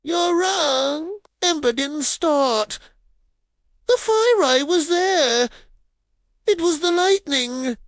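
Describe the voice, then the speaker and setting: A woman voicing a brave, kind little girl in a cartoon story, with a high and earnest manner. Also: animated, very slow, no echo, exaggerated voice. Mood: insistent. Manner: high, earnest, gentle to pleading.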